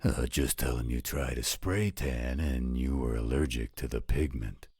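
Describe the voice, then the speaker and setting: A man plays a gruff, patient older werewolf stepfather in an audio drama. The voice is extremely low, raspy and full of gravelly fry, delivered slowly and dryly, close and without echo. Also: darkish tone, exaggerated voice. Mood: fatherly, approving. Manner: gruff, dry, unhurried. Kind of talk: scripted roleplay audio drama.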